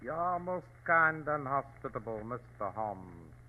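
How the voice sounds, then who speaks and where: An actor playing a dignified, ruthless provincial governor from China, courtly and formal, in 1940s radio drama style. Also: male voice, medium pitch, sing-song, slow pace. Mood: courteous. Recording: thin, narrow-band old radio recording.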